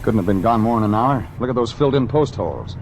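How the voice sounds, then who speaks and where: An actor playing a wry, terse, deadpan private detective in 1940s radio drama style, on an old recording with vehicle noise. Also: male voice, lowish voice, fast. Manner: terse, deadpan, hard-boiled. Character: wry hard-boiled male private detective from Los Angeles.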